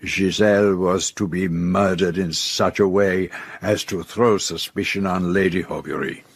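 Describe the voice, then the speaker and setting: A confident detective in a radio drama, his voice low and husky. He speaks with formal, measured assurance, setting out his case step by step. The sound is close and dry. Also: steady tone, no room sound, exaggerated voice. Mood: accusing. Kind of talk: radio drama acting, detective's denouement monologue.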